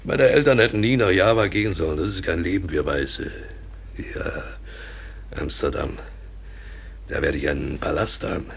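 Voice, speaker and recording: An actor playing a deluded, impoverished colonial trader in a radio play, rambling insistently and feverishly in a hoarse, breathy voice. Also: male voice, low voice, moderate pace, long pauses, full character voice. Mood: dreamy, bitter. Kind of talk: German radio play acting.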